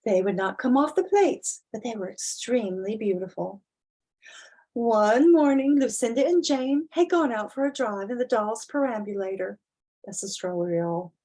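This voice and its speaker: A woman reading a children's storybook as a dramatic reading, with deliberate, theatrical emphasis. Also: fairly high pitch, a little mellow. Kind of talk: expressive dramatic storybook reading with casual asides.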